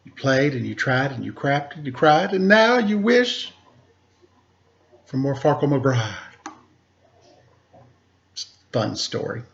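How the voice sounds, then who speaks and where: A man reading aloud to children as a storyteller, with theatrical, drawn-out emphasis and casual asides. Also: medium pitch, big pitch swings, vocal fry, fairly bright tone. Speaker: storybook reader, teacher. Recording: muffled lo-fi recording.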